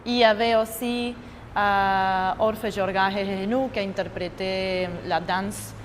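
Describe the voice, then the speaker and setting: A woman from Argentina speaking French as a second language, a choreographer talking about her project in a halting way, often pausing to search for words. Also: mid-range voice, fairly even intonation, in a large hall, slight background noise. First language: Spanish. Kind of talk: interview testimony.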